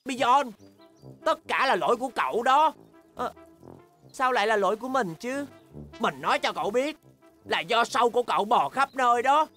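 A woman's voice: on the high side, lively intonation, normal speed, long pauses, a bit bright, soft background music. A woman voicing an eager, stubborn young boy bear in a children's cartoon dub, exclamatory and determined. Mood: bickering.

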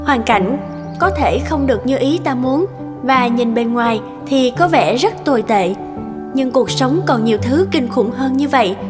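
A woman reading scripted moral narration for an animated story. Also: high voice, unhurried, big-room sound, over music.

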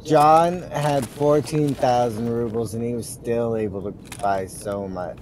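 Slow, easygoing young man on game chat. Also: medium pitch, steady tone. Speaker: gamer in a squad. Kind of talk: casual voice chat while playing. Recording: noisy game audio behind.

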